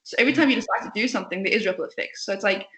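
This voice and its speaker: Young woman, rapid, bright, passionate, outspoken, echoey room. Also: medium pitch. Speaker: CEO and co-founder, guest. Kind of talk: podcast interview.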